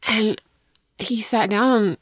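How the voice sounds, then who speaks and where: A hoarse woman co-hosting a podcast, telling stories casually and often laughing at herself. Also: medium pitch, steady tone, very slow, no room sound. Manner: laughing, self-deprecating storyteller. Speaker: podcast co-host. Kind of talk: casual chat.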